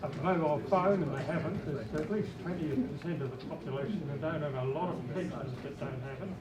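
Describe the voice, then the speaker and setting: Hoarse older man in an echoing hall. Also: a little high, quick pace, far from the mic, over a noisy crowd. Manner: blunt, chatty, rambling. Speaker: resident asking questions. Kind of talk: public meeting question from the floor. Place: civic centre meeting hall. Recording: echoey hall, noisy audience.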